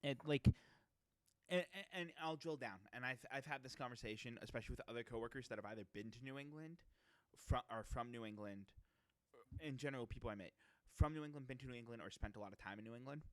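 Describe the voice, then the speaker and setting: A man's voice: mid-range voice, quick pace, no echo. A young man from Massachusetts co-hosting a podcast, chatting casually with rambling, self-deprecating asides. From Massachusetts, USA. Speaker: podcast co-host. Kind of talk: casual chat.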